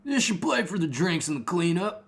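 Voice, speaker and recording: Man acting a sardonic fantasy adventurer, animated pitch. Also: normal speed, a little hiss or hum. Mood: matter-of-fact. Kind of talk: amateur dramatic story reading.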